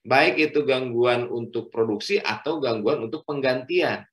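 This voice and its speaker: A middle-aged man, a doctor, giving a health lecture at a religious study session, speaking in measured phrases with frequent fillers and addressing the listeners as friends. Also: mid-range voice.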